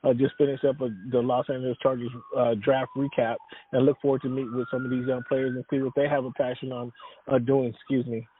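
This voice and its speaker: A man's voice: mid-range voice, flat delivery, fairly quick, slight background noise. A man being interviewed about his work as a sports writer, talking in a rambling way with many fillers and restarts. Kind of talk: casual interview talk. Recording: dry, tinny phone-like line.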